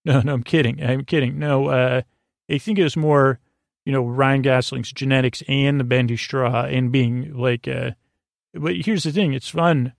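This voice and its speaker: A man hosting a sleep podcast with a goofy, rambling bedtime monologue, haltingly trailing off and doubling back. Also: medium pitch, fairly even intonation, vocal fry, no room sound, clean studio sound. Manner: whimsical, goofy.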